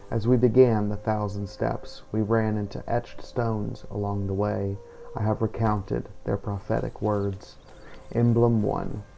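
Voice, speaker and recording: A man reading a scripted in-character journal of a fantasy game playthrough in a solemn, measured storytelling manner. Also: on the low side, flat delivery, vocal fry, warm tone, a little hiss or hum, low-quality recording. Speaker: player narrating a role-play playthrough.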